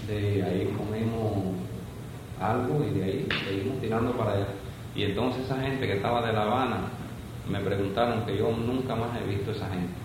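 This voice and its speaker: An older man with a Cuban accent slowly recalling old times in an oral history interview, rambling with folksy asides. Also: fairly low pitch, fairly even intonation. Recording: echoey room from far off, noisy.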